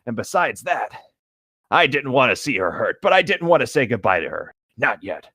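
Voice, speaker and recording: A man's voice: medium pitch, sing-song, normal speed, bright, forceful tone, husky, no echo, studio quality, heavily acted. A man voicing a guilt-ridden human-shark monster narrating his own fan-fiction story. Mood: anguished.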